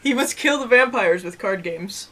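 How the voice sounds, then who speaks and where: A woman's voice: medium pitch, lively intonation, normal speed, fairly bright tone, a little hiss or hum, poor audio. A woman co-hosting a casual review with mocking, sardonic banter. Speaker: co-host of an anime review show.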